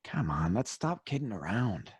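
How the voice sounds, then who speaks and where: An actor playing a jittery, grumbling small-time crook in an old-time radio drama, husky and edgy, with slangy talk. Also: male voice, lowish voice, very animated, normal speed, no echo, heavily acted. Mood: edgy, annoyed.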